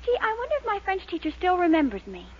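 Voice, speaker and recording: Girlish young sweetheart in old radio sitcom, really high lively voice, noisy recording. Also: quick pace, heavily acted. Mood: teasing. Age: young adult. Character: sweet, chatty young small-town girlfriend and daughter. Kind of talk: 1940s radio situation comedy acting. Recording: noisy muffled 1940s radio, audience behind.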